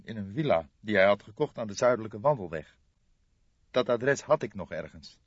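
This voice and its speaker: Audiobook role: male reader as hesitant, distraught young man begging for help. High, swooping, emotional, trembling delivery. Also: slightly rough, dry recording, lo-fi sound. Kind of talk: audiobook character voice.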